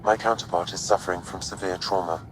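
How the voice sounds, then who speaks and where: Man voicing low-pitched starship AI, male, calm, precise, formal, emotionless, sci-fi game, noisy ambient background. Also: natural voice. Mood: neutral. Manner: measured, formal, unemotional. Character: calm, precise male artificial intelligence assistant. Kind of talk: video game cutscene acting.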